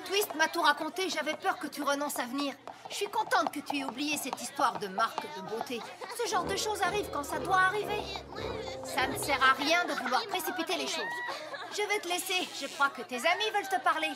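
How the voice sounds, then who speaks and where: Casting: reassuring big-sister cartoon pony, high voice, loud music behind. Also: female voice, lively intonation, fairly quick, over a noisy crowd. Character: kindly, reassuring grown-up female pony. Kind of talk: French cartoon dubbing.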